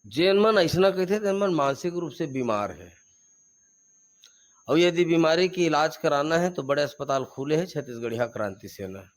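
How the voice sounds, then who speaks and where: A man's voice: a little high, expressive, normal speed. A man with a Chhattisgarhi accent, a regional activist giving fiery, emphatic interview answers and repeating slogans.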